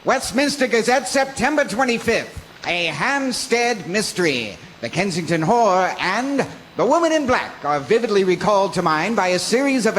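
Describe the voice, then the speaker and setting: A man plays a formal announcer reading newspaper reports for a radio drama. His voice is high for a man, with faint noise behind it. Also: fairly bright tone, low-quality recording. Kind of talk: scripted radio drama narration.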